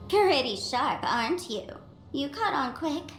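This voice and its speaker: High, animated, teasing young tour guide. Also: female voice, unhurried, exaggerated voice. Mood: storytelling, showy. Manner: teasing, theatrical. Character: brash, playful young woman acting as tour guide. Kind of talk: animated video game character dialogue. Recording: roomy sound over background music.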